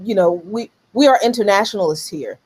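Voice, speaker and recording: A woman's voice: mid-range voice, brisk, darkish tone, breathy edge. A woman hosting a live current events talk show, speaking earnestly and repeating phrases for emphasis. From United States. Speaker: show host.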